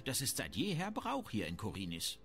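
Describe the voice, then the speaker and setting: A man's voice: medium pitch, wildly swooping pitch, normal speed, a bit bright. A man playing a shrewd, grumbling, self-important town merchant in a fantasy video game. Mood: businesslike. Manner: haggling, disdainful, self-important. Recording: music behind the voice.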